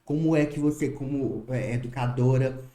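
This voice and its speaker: A man's voice: medium pitch, moderate pace, mellow. A man hosting an interview who asks questions hesitantly and repeats words as he starts them. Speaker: interview host. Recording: echoey room.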